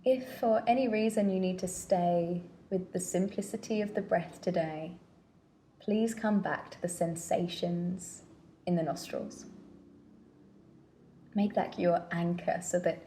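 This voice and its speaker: Female meditation guide: lowish, gravelly-edged voice, very slow gentle delivery, soothing instruction. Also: a little hiss or hum. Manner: gentle, unhurried, pausing between phrases.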